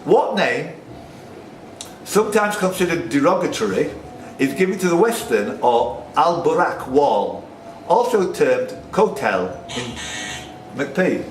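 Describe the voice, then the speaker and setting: Guy hosting a quiz, reads questions out, jokes a bit, roomy echo and clear noise. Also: a little high, very animated, takes it slowly. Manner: jokey asides between questions. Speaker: quiz host and question setter. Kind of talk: reading out quiz questions to players. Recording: noisy, echoey room recording.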